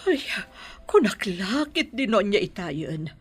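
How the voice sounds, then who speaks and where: A woman playing an anxious, caring middle-aged mother in a radio drama, expressive and fussing. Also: medium pitch, takes it slowly, slightly rough, soft background music, heavily acted. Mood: worried, tender. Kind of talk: Ilocano radio drama acting.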